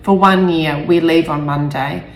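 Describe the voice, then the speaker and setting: A woman's voice: low voice, fairly even intonation, a little slow. Woman reading as a curt, defensive boy, echoey. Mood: defensive, resigned. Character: stiff, defensive, evasive young boy. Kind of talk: audiobook character dialogue.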